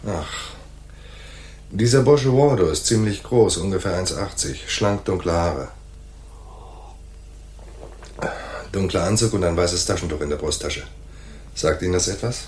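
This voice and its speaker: An actor playing a cool, wry private detective in a hardboiled crime radio play, laconic and sardonic. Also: male voice, low-pitched, lively intonation, moderate pace, a little mellow, slight background noise. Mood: cool, dry. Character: wry, cool male private detective narrating his case.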